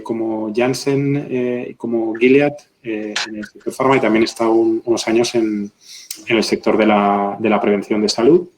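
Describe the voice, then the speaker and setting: A man, a pharmaceutical executive on a webinar panel, explaining in a deliberate way, often ending points with tag questions. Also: on the low side, slight background noise, poor audio.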